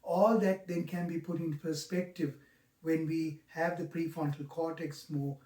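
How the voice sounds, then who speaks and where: A man in his sixties with a Sri Lankan accent gives a reflective, explanatory talk in an earnest, halting manner, stressing key words. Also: fairly high pitch, steady tone, warm tone. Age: 60s. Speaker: mindfulness teacher. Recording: roomy, echoing, distant microphone.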